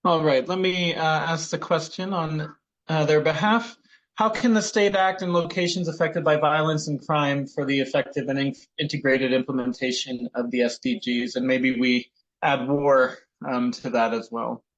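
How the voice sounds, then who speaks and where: A man's voice: on the high side, fairly even intonation, moderate pace, fairly bright tone, dull audio. A man moderating a question-and-answer session, calling on participants and relaying their questions.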